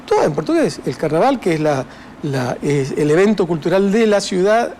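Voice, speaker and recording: Older Argentine man, mellow voice. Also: sits high, big pitch swings, normal speed, a little hiss or hum. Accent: Argentine Spanish. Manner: reflective, with many fillers and restarts. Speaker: author guest. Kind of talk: interview conversation.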